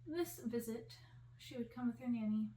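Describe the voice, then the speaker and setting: A woman's voice: a little high, moderate pace, warm tone, slight background noise. A woman reading a fairy-tale novel aloud as scripted ASMR narration, recorded at a distance in a roomy space. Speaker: audiobook-style reader for ASMR channel.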